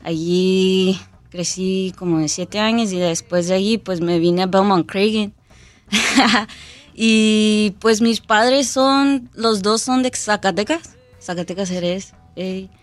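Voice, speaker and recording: A woman's voice: medium pitch, dry recording, soft background music. A woman from Chicago with a Mexican-American accent, a dancer, talking with laughs and English words mixed in. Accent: Chicago Mexican-American Spanish. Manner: slow, laughing, mixes in English. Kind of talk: casual interview guest.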